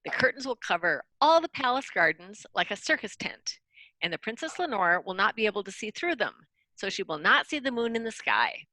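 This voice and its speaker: A woman's voice: mid-range voice, big pitch swings, fairly quick, pushed and bright, no room sound, poor audio. A woman playing an eager, inventive royal wizard in a storybook readers theater, confident and explanatory.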